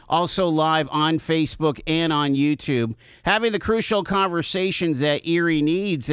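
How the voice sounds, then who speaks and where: A man's voice: on the high side, fairly bright tone, smooth, dry recording. A man hosting a live talk show, interviewing guests in a studio. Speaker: radio talk show host. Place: radio studio.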